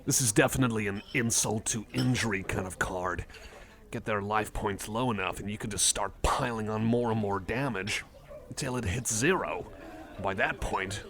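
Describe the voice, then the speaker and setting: A man's voice: lowish voice, fairly bright tone. A man doing a casual ASMR roleplay as a friendly, nerdy cyborg, rambling hesitantly and correcting himself with mild enthusiasm. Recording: cafe chatter behind the voice. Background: cafe crowd ambience.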